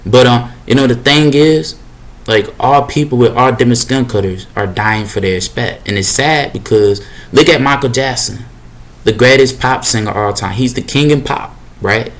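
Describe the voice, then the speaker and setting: Casual preachy young man, deep voice. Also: moderate pace, fairly bright tone. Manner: casual, repetitive, preachy, with slang fillers. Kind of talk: rambling motivational monologue.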